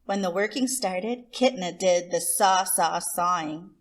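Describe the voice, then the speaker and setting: A woman reading a picture book aloud to children as host, expressive, with deliberate emphasis on words. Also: fairly low pitch. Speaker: children's story reader and host.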